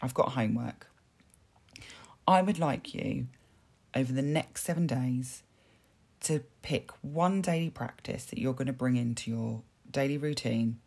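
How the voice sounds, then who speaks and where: A woman hosting a motivational self-help podcast, talking earnestly with a hoarse, dry voice, repeating words and using fillers as she goes. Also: extremely low pitch, unhurried, darkish tone. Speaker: podcast host.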